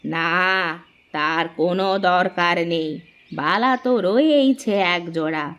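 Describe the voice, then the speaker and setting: A woman's voice: fairly low pitch, animated, a little slow, fairly bright tone. A woman playing a bossy, biased old mother-in-law in a cartoon, imperious and blunt in manner. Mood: doting. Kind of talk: cartoon family drama acting.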